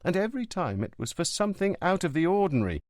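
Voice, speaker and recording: A man voicing an anxious, affectionate young woman in an audiobook, raising his voice to a soft, pleading tone. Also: lowish voice, sing-song, fast talker, no echo, pitched above the performer's own voice. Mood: worried.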